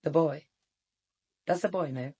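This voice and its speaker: A woman's voice: low-pitched, a little slow, mellow, husky, no echo, muffled recording. An actress playing a stern, devoted woman servant in a radio drama, deferential yet pointed. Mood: pointed, restrained. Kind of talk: British radio drama acting.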